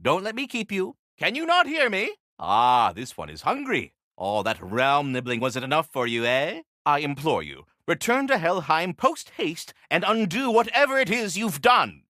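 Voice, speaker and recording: Courtly talking squirrel for a fantasy game: highish, hugely animated, theatrical and effusive, close dry sound. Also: male voice, moderate pace, few pauses, dry recording, heavily acted. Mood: chatty, courteous, then insistent, fussy. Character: polite, fussy talking squirrel tending the World Tree. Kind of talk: video game character dialogue.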